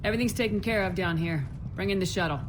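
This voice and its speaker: A woman's voice: medium pitch, quick pace, background noise, close to an everyday voice. An actress playing a decisive female military commander in a video game, direct and businesslike.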